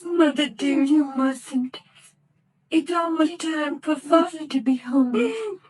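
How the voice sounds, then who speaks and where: A young actress playing a daughter who gently coaxes and comforts her mother, in old-time radio drama style. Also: high voice, slow pace. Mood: tender. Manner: gentle, coaxing.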